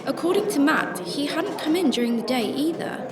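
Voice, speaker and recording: A woman's voice: high-pitched, animated, normal speed, a loud crowd. A woman acting a troubled, secretive shelter worker who narrates her story in a radio drama, emotive and hesitant in dialogue. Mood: reflective. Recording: roomy sound with music behind.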